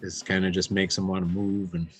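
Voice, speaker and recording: A man's voice: low-pitched, little pitch movement, brisk, slightly rough, faint music behind. A man working as a concert promoter, talking casually with some hesitations in a documentary interview.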